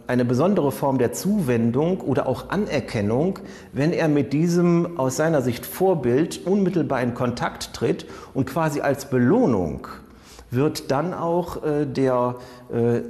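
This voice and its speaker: Mellow male crime expert in reverberant room. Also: mid-range voice. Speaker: criminal profiling expert commentator. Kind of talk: analytical interview commentary.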